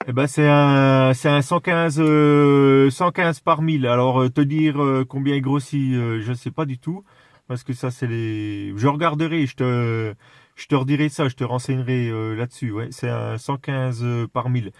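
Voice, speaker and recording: Man, mid-pitched voice, halting casual delivery, enthusiastic explainer, very dry sound. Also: fairly even intonation, clear, resonant tone, no room sound. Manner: hesitant, halting, searching for words. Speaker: amateur radio operator. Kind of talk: casual chat over CB radio.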